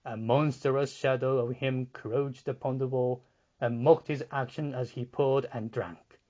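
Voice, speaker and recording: A man reading literary fiction as an audiobook narrator, in a measured, deliberate, dramatic style. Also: mid-range voice, fairly even intonation, warm tone, lo-fi sound. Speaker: audiobook reader.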